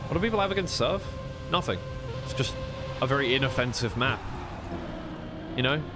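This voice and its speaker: A man's voice: medium pitch, lively intonation, normal speed, over music. A man doing live esports play-by-play commentary in a conversational way, thinking aloud as he goes.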